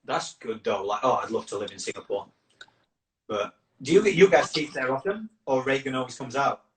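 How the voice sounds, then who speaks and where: A man with a northern English accent softened by years in Australia, hosting a casual, chatty live conversation. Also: medium pitch, animated, normal speed. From Yorkshire, northern England. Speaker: campaign founder hosting a live chat. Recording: echoey room with clear background noise.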